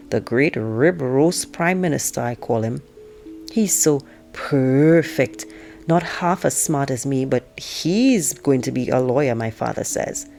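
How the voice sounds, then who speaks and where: A woman reading a novel aloud like an audiobook narrator, expressively telling it as a teenage girl, with drawn-out emphasis. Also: deep voice, creaky, darkish tone. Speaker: teacher reading aloud to students.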